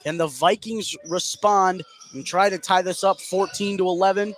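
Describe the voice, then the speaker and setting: A man calling live high school basketball on the radio as play-by-play commentator, getting excited on big plays. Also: normal speed. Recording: gym noise behind the voice. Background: gym ambience, bouncing basketball.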